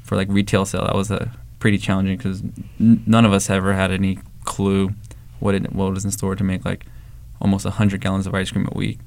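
A young man with a low, gravelly voice that barely rises or falls. He talks in a relaxed interview style, full of "like" and restarts, as a shop co-owner from the Valley. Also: fairly quick, hardly any pauses, slight background noise. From Los Angeles, San Fernando Valley. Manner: relaxed storytelling, many fillers and restarts. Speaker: ice cream shop co-owner. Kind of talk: casual interview answers.

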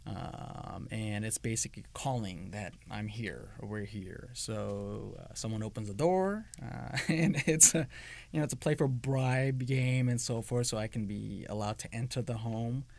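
Middle-aged guy from iran, low gravelly voice, lots of ums and uhs as he answers questions. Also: a little slow, a little mellow, dry recording. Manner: hesitant, many ums and uhs, restarts. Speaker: interviewee. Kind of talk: casual interview answers.

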